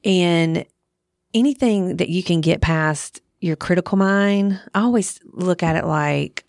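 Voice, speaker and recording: A woman's voice: fairly low pitch, unhurried, darkish tone, dry recording, studio quality. A woman in her thirties or forties hosting a podcast in a casual, frank way, thinking aloud as she goes. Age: 30s to 40s. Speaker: podcast host. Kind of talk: casual conversational podcast chat.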